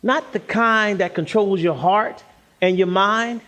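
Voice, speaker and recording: A performer narrating a stage play in deliberate, poetic spoken word over music. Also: mid-range voice, fairly bright tone. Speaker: narrator and singer. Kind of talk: slow spoken-word stage narration between sung lines.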